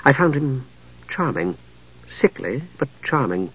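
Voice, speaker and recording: A man's voice: medium pitch, sing-song, unhurried, husky, no echo, highs cut off, exaggerated voice. A man voicing a regal, knowing middle-aged female knight with cultured, composed diction in a fantasy audiobook. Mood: wry, composed.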